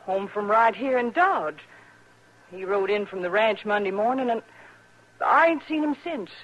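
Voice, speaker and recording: An actress playing a worried, stoic ranch wife with a rural western American accent, plainspoken and halting, in 1950s radio drama style. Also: female voice, medium pitch, expressive, a bit bright. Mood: worried, hesitant. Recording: muffled vintage radio recording.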